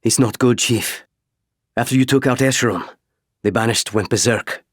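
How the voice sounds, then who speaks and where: Jittery male pilot played by a man, animated and eager, close dry sound, audiobook dialogue. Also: mid-range voice, moderate pace, no echo, pitched above the performer's own voice, natural voice. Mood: grim, urgent.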